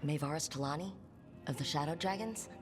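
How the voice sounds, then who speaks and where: A woman's voice: deep voice, a little fast, natural voice. A woman playing a plain-spoken, lightly wry young rebel adventurer in a fantasy game. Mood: grateful, curious. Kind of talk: natural game dialogue. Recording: roomy reverb, music underneath.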